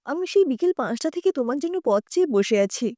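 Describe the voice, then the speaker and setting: A woman's voice: high voice, expressive, fairly quick, mellow, no echo, clean studio sound. A woman voicing an anxious, tender wife who questions her husband insistently in a dramatised audio story. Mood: hurt, tender.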